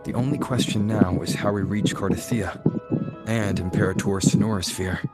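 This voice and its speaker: A man's voice: on the low side, steady tone, moderate pace, lightly acted. A man voicing a wary, level-headed game adventurer, direct and questioning, with music behind him. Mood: guarded. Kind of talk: video game voice acting, scripted dialogue.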